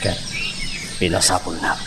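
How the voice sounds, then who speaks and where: A man's voice: sits low, flat delivery, measured pace, background noise. A man with a Filipino accent playing a sorrowful older father in a radio drama, recounting his past in short confessional phrases. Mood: sorrowful, remembering. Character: sorrowful old father recounting lost love and son. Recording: loud music bed behind the voice.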